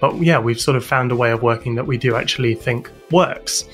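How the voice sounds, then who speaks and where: British guy being interviewed, kinda halting, repeats words, mid pitched voice, music behind him. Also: fairly bright tone. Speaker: interview guest, audio drama sound designer and composer. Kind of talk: explanatory interview talk.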